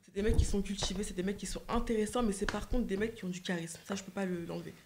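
A woman's voice: medium pitch, fast, noisy background. Young female guest, earnest and insistent, desk mic, indoor set. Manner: earnest, insistent, repeats herself. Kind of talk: casual chat on a livestream. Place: indoor living room set. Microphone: desk microphone.

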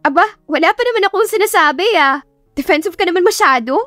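A woman's voice: very high voice, expressive, fast, a bit bright, dry recording, faint music behind, pitched above the performer's own voice. A woman voicing a playful, teasing young female teacher in an audiobook love story. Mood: gushing, teasing.

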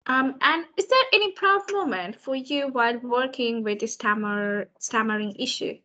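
A young woman interviewing over a video call, asking questions hesitantly with many pauses. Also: a little high, sharp tone, dull audio. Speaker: students' union officer interviewing. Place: room with large window.

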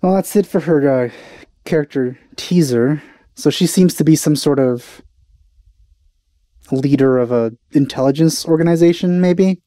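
A man's voice: on the high side, a little slow, warm tone, no room sound, studio quality. A young man giving casual reaction commentary as a video host, hesitant and meandering, into a headset mic.

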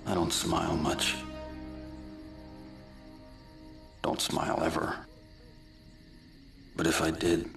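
An actor playing a grim, deadpan vigilante killer in a video game, terse and gravelly with dry menace. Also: male voice, rumbling low, unhurried, music in the background, exaggerated voice. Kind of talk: video game cutscene acting.

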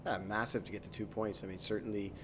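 A man in his thirties, a hockey coach, answering post-game questions in a measured way, with many fillers and restarts, into a handheld mic. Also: on the low side, flat delivery, a bit bright, a little hiss or hum. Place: arena interview backdrop, indoors. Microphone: handheld mic held by interviewer.

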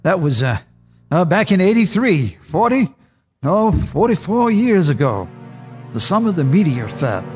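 An actor playing an old rural farmer, a wary, gruff storyteller with a raspy, hesitant drawl. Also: male voice, high voice, very animated, unhurried, darkish tone, soft background music. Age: older. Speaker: witness telling the story. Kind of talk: radio drama acting.

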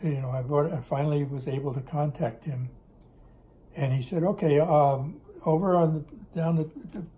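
A man's voice: a little high, steady tone, moderate pace, dark tone, low-quality recording. An older man telling stories in a casual interview at his kitchen table, rambling and correcting himself as he goes, recorded on a camera set on the table. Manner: rambling, self-correcting storyteller. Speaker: interviewee recalling business in Russia. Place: home kitchen table, indoors. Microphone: camera on table in front.

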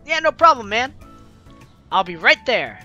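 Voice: mid-range voice, sing-song, unhurried, pushed and bright, highs cut off. A let's play host reading visual novel lines aloud and reacting in an exclaiming, giggly way. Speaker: gaming video host. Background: game music.

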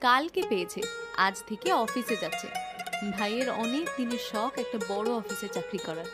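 A modest, contented housewife from a cartoon folk tale, played by a woman. Her voice sits slightly high, polite and gentle, over clearly audible music. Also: animated, normal speed, lightly acted. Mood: pleased. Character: modest, contented, patient village sister-in-law and housewife. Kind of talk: cartoon moral story voice acting.

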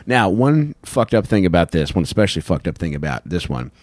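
A man's voice: low-pitched, big pitch swings, brisk, no room sound. An American man co-hosting a casual comedy podcast, swearing and joking crudely into a close handheld mic. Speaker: podcast co-host. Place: small wood-panelled room, indoors. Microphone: handheld microphone held close.

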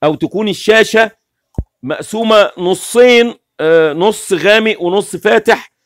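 A man's voice: high voice, normal speed, dry recording, clean studio sound. A man in his forties with an Egyptian Arabic accent, hosting a livestream from a small room and explaining things insistently, waving his hands as he talks. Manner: animated, gestures constantly, explains insistently. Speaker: livestream host. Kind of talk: casual livestream talk. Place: small room with curtains, indoors.

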